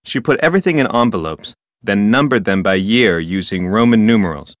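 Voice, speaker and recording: A man acts out an officious park ranger for an adventure game. His voice sits fairly low and is recorded dry and close. He talks in formal, wordy sentences, like a stickler for the rules who relishes local history. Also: animated, a little fast, no echo, close to an everyday voice. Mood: informative, matter-of-fact. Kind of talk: scripted adventure game dialogue.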